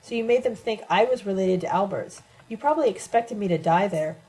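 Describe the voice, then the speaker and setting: A woman's voice: medium pitch, lively intonation, a little fast, warm tone, natural voice, low-quality recording. A woman voicing an embittered, scarred man in an audiobook, accusing and earnestly speechifying. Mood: bitter reproach.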